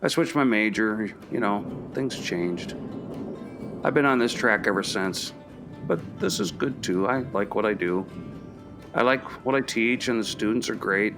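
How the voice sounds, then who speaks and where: A man's voice: on the low side, unhurried, gravelly, over music. A man acting in a radio play as an introspective middle-aged professor, speaking in a hesitant, reflective, conversational way. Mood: wistful, reflective. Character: introspective, kindly anthropology professor, a parent.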